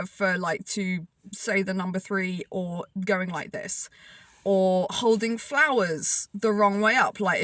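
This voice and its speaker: A young woman born in the UK, telling a story to camera in a chatty, animated way with lots of fillers. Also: a little high, no room sound. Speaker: vlogger.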